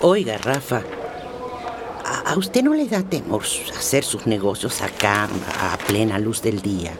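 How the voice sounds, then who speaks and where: Wary townsman, very animated pitch. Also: unhurried. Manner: cautioning, inquisitive. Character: wary, incredulous small-town acquaintance of a smuggler. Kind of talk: radio drama acting. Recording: noisy outdoor ambience with traffic.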